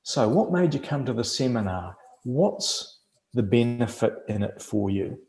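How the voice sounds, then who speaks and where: A man with a New Zealand accent presenting a webinar over a video call, explaining in a measured way with frequent fillers. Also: medium pitch, big pitch swings, a little mellow, echoey room, low-quality recording. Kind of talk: teaching a webinar.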